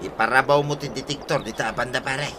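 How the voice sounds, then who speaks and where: A man's voice: mid-range voice, quick pace, background noise, close to an everyday voice. A man with an Ilocano accent playing a bossy, scheming village buddy in a radio comedy drama, coaxing in a conversational way. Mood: businesslike.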